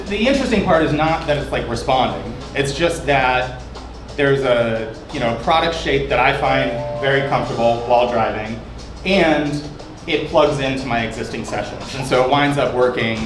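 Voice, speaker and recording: A man giving a loose, chatty presentation of his app, with lots of fillers and restarts. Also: mid-range voice. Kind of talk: casual demo talk. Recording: echoey room with background noise.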